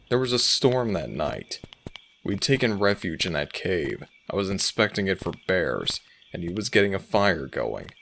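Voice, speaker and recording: A man's voice: low voice, gravelly, fairly bright tone, a little hiss or hum, exaggerated voice. A man playing a smug, teasing alpha werewolf pack leader and older brother in an audio roleplay drama.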